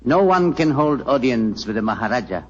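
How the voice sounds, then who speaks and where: A man's voice: medium pitch, big pitch swings, moderate pace. A man playing a hostile, imperious Indian palace counselor, stern and stilted, in a 1940s radio play. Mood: haughty, forbidding. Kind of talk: 1940s radio drama acting. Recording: muffled old radio recording.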